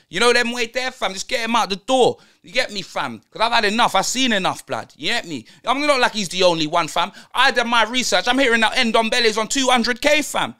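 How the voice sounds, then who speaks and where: A man's voice: very high voice, pushed and bright, full character voice. A man playing a brash young London roadman football fan, ranting in heavy slang and tagging lines with blood and fam. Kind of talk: comedic football rant.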